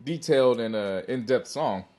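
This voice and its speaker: A man's voice: mid-range voice, lively intonation, moderate pace, warm tone. Slangy man chatting about music. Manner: slangy, opinionated. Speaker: podcast co-host. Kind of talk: casual music discussion.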